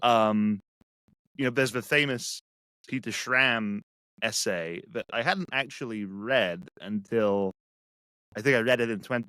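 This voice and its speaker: A man from England with an English accent, a guest speaking reflectively and haltingly with many restarts. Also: medium pitch, fairly bright tone, smooth, no room sound, low-quality recording. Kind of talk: casual conversation.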